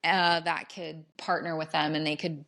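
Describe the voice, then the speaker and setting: Low-voiced woman chatting casually. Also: little pitch movement, moderate pace, gravelly, fairly bright tone. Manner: chatty, rambling with many fillers. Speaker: podcast co-host. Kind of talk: casual chat.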